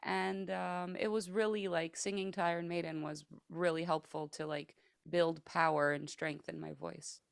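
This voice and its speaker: A woman's voice: fairly low pitch, little pitch movement, moderate pace, gravelly, few pauses, clear, resonant tone, no room sound, studio quality. A woman who sings metal, guest in a casual interview, talking in a chatty way with many fillers and easy laughs. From Greece and the United States.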